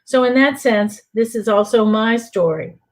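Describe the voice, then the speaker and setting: An older woman from New Orleans reading aloud from her own book in a measured, deliberate way for an online reading. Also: on the high side. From New Orleans, USA. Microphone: home computer mic for an online reading.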